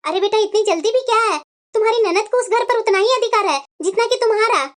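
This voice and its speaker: A woman playing an older, sensible and indulgent mother in a scripted family story, soothing and gently reasoning. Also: very high voice, fast talker.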